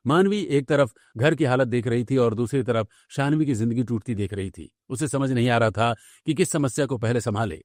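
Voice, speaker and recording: A man's voice: mid-range voice, brisk, few pauses, a little mellow, no room sound, studio quality. A man narrating a scripted domestic story for a cartoon.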